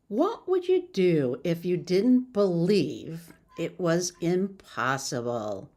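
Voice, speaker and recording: A woman giving an informal, encouraging motivational talk as a success coach, sometimes stumbling over her words. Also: on the low side.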